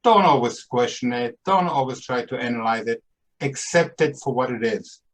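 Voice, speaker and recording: A man's voice: mid-range voice, moderate pace. A man in his seventies with a Brooklyn accent, hosting a podcast in a playful, emphatic, upbeat way. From Austria and Brooklyn, New York. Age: 70s. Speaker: podcast host. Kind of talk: casual podcast monologue.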